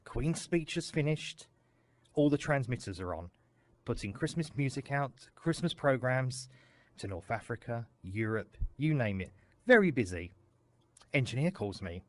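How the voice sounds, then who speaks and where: Middle-aged male, animated anecdote teller, clipped dramatic phrasing, very dry recording. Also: medium pitch, no echo. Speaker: radio control room staffer. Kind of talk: storytelling, recorded reminiscence.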